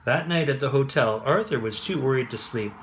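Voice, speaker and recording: A man narrating a children's bedtime story in an expressive read-aloud style. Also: medium pitch, brisk. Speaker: storyteller, host.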